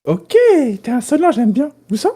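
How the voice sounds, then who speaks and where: A woman's voice: a little high, sing-song, normal speed, warm tone, husky. A woman improvising a bossy, teasing young recruiter in comedy role-play, goading in casual slang. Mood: smug, approving. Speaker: amateur role-player on a stream.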